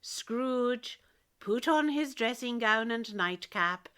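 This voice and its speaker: An actress as the storyteller of a spooky radio play, narrating in a suspenseful, dramatic way. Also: female voice, a little high, lively intonation, slow pace, a bit bright, clean tone. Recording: music under the voice.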